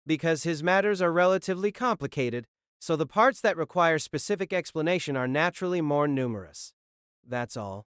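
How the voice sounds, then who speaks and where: A man's voice: a little high, animated, fairly quick, fairly bright tone, clean tone, no room sound, clean studio sound. A man playing a stern, self-controlled clergyman in an audio drama, formal, grave and literal-minded. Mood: formal, restrained. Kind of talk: audio drama character acting.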